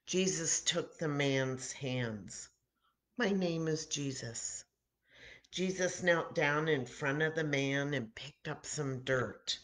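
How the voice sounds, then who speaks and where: An older American woman, in her sixties, reads a children's Bible story aloud in a dramatic, deliberate manner, acting out the dialogue. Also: low voice, a bit bright. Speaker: children's story time host at a church. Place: home kitchen, indoors. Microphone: webcam or laptop mic at arm's length.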